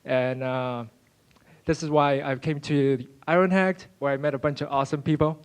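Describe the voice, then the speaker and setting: A young man from Venezuela giving a class project presentation, halting with frequent fillers. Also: a little high, fairly even intonation, clean tone. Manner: halting, many uh fillers and restarts. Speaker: student presenter.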